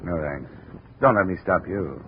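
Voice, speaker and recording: An American actor playing a dry, world-weary insurance investigator in a 1950s radio detective drama. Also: male voice, low-pitched, lively intonation, measured pace. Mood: cool, businesslike. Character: wry, hard-boiled male insurance investigator narrating his case. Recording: dull, hissy old radio recording.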